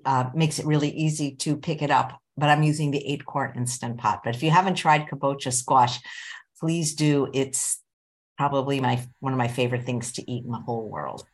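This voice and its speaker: A woman hosting an online cooking show, talking in a playful, chatty way. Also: low voice. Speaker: host.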